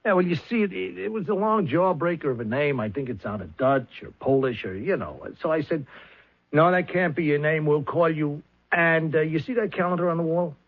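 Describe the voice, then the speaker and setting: An actor playing a shabby, evasive showbiz agent in a 1970s radio drama, stammering and wheedling in streetwise New slang. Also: male voice, fairly high pitch, animated, normal speed. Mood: flustered, evasive. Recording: dull old radio recording.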